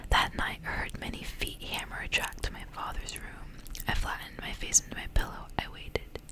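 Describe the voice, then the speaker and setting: A woman speaking in a breathy, unvoiced whisper, in the intimate style of an ASMR reading. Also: moderate pace. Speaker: ASMR creator reading stories aloud. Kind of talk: whispered ASMR storybook reading with casual asides.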